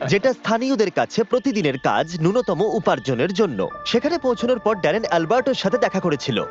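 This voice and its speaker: High-pitched, animated man narrating quickly. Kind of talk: scripted dubbed documentary voiceover. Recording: muffled audio under music. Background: music.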